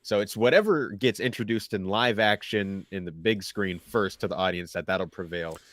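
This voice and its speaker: A man with a low voice shares opinions on a casual discussion show. He thinks aloud, somewhat haltingly, sorting his points into lists. The recording is dry. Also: a bit bright, dry recording. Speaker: co-host of a pop culture discussion show. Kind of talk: casual chat, laying out opinions.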